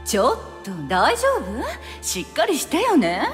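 I-No, a haughty witch with swooping pitch. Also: wildly swooping pitch, measured pace, full character voice. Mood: impatient. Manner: condescending, teasing, curt and bossy. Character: haughty, impatient, sultry adult female witch. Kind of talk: anime game voice acting. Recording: long reverb over background music.

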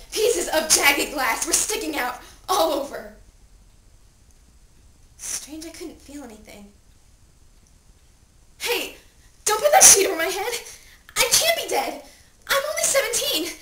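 A woman's voice: high-pitched, full character voice. A young woman performing a dramatic monologue as an anguished, pleading seventeen-year-old girl who has died, with theatrical delivery. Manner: theatrical, swinging from hushed telling to desperate cries. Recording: echoey room, distant mic, clear noise.

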